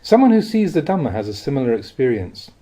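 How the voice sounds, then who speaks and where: A man reads a spiritual teaching aloud in a measured, expressive, explanatory way, like an audiobook. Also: medium pitch. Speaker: reader of Buddhist teachings. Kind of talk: audiobook reading of a spiritual talk.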